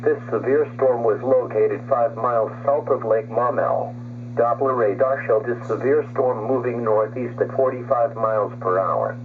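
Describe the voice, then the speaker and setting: Robotic text to speech guy reading weather alerts on the radio, muffled with loud hum and static, formal and flat sounding list reading. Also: medium pitch, normal speed. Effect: weather radio broadcast. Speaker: automated weather radio announcer. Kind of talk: scripted emergency weather warning announcement. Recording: dull noisy weather radio recording, humming.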